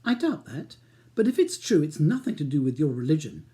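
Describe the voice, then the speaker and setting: A man reading a novel, voicing an exasperated, sharp-tongued upper-class English wife with a clipped, argumentative manner. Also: fairly high pitch, sing-song, fairly quick. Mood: angry, exasperated.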